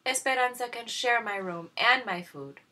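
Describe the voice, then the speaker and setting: A woman's voice: fairly high pitch, normal speed, bright, forceful tone, voice pushed up. A woman voicing a meek, kind-hearted young woman, earnest in manner, in a children's storybook. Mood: begging. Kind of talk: read-aloud children's storybook.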